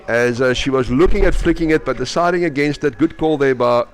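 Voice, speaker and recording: A South African man with Afrikaans as his first language calls a match live. His voice sits at an average male pitch, and he openly pulls for his side as he follows the play. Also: fairly quick. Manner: partisan, cheering on his team. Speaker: sports commentator.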